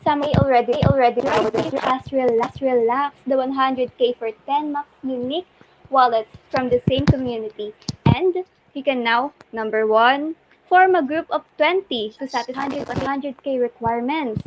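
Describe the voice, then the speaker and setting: A woman's voice: high voice, normal speed. A woman hosting a casual livestream, effusively repeating words as she reads out questions from the community. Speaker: host reading community questions. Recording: noisy livestream audio with clicks.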